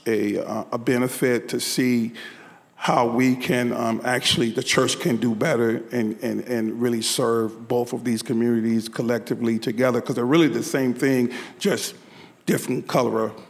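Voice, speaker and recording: A man from the rural South speaks loudly and earnestly as a panel guest. He often repeats words as he builds his point. His voice carries in a hall with long reverb. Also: medium pitch, steady tone, normal speed, creaky. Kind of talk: panel discussion.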